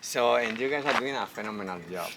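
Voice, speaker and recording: A man's voice: mid-range voice, quick pace. Spanish-accented man lecturing outdoors. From Spain. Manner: enthusiastic, friendly, asks the audience questions. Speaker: wildlife veterinarian giving a talk. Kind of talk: public lecture. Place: outdoor festival. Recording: noisy outdoor festival recording.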